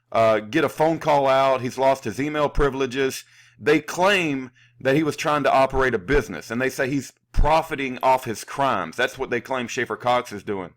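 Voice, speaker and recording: A man hosting an unscripted political commentary, indignant and insulting, halting and repeating himself as he goes. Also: mid-range voice. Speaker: video host, activist commentator.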